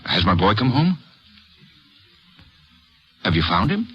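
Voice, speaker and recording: An actor playing an anguished, agitated father with a husky voice, urgent in 1940s radio drama style. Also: lowish voice, sing-song, takes it slowly, slight background noise. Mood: frantic, pleading. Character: frantic, anguished father searching for his son. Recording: muffled 1940s radio broadcast.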